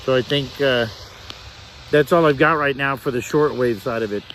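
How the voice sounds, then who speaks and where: Male hobbyist, casual gadget demo, thinks aloud haltingly, noticeable ambient noise behind the voice. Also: medium pitch, fairly bright tone, poor audio. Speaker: hobbyist reviewer demonstrating a shortwave radio. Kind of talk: casual hands-on demonstration.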